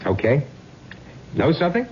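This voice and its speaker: A man's voice: a little high, huge pitch swings, normal speed, darkish tone, a little hiss or hum. A man playing a practical, affectionate, skeptical sweetheart in a radio drama, talking in an informal, emphatic way. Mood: breezy, admiring. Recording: dull, humming old radio recording.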